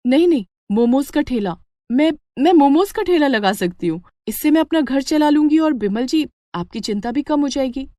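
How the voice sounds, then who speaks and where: A woman voicing a determined, hopeful young village daughter-in-law, earnest and expressive, in a moral story. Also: sits high, normal speed, dry recording, clean studio sound, voice pushed up. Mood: hopeful, excited. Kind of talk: dramatic Hindi moral-story voice acting.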